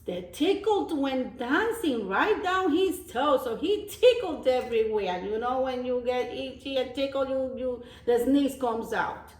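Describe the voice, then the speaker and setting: A woman reading a storybook aloud as a teacher of young children, pausing for simple explanations and repeating phrases. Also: sits high, animated. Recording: roomy echo, distant microphone.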